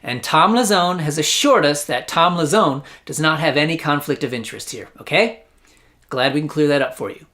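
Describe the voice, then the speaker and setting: Lively, sarcastic American man. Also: on the high side, normal speed. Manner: sarcastic, mock-incredulous. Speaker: host of a satirical news commentary show. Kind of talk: scripted comedic news commentary.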